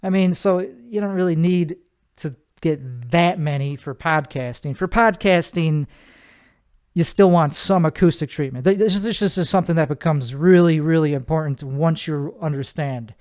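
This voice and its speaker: A man's voice: on the high side, moderate pace, darkish tone. A man hosting his own solo podcast from his bedroom, with a nasal voice, rambling casually and correcting himself often. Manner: rambling, self-correcting, many fillers. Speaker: podcast host.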